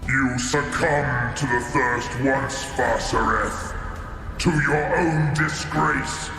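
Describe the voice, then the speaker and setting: A man's voice: mid-range voice, steady tone. A man playing a taunting, tempting demon of a war god in an audio drama, with menacing, grandiose delivery in a vast echoing space. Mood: sneering, taunting. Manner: slow, menacing, grandiose declamation. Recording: cavernous echoing reverb over loud music.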